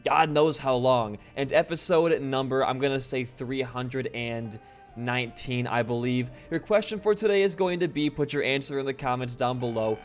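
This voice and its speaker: A laid-back young man from Wisconsin, a bit hoarse after losing his voice, rambling casually while commenting on his gameplay. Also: medium pitch, normal speed. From Wisconsin, USA. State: slightly hoarse from voice loss. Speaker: gaming channel host. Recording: faint game music behind.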